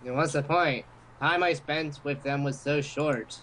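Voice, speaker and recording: A man with a somewhat high-pitched voice jokes and reads through a game as an amateur player. He drops in sarcastic asides. There is faint background noise. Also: a little slow, a bit bright. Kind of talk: casual joking commentary and read-aloud.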